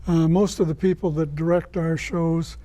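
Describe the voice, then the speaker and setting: A man answering interview questions as a guest, explaining things in a measured, informative way. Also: fairly high pitch, fairly even intonation, mellow. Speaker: guest, writer and director of radio plays.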